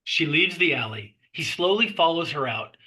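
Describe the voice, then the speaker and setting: A man's voice: fairly high pitch, lively intonation, normal speed, sharp tone, rough recording. A man reading stage directions as narrator at a script table read.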